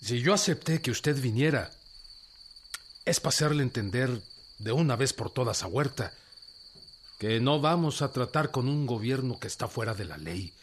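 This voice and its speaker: An actor playing a stern, defiant revolutionary general in a radio drama, with a Mexican accent and a firm, declamatory delivery. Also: male voice, medium pitch, a little hiss or hum, lightly acted. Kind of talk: Spanish-language radio drama acting.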